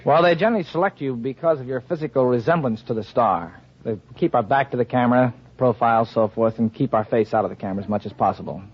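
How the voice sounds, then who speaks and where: A man's voice: medium pitch, fast, few pauses, slightly rough. A man from Illinois with an American accent, answering interview questions plainly and literally as a quiz show contestant. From Illinois, United States. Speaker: contestant, stuntman. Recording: muffled vintage radio recording.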